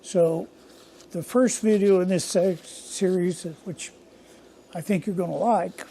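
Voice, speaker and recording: Older man, hoarse, gravelly voice, mellow tone, slow unhurried talk, faint shop ambience. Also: high-pitched, steady tone, a little hiss or hum. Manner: rambling, folksy and self-deprecating. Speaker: workshop channel host. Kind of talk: casual workshop vlog. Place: home machine shop.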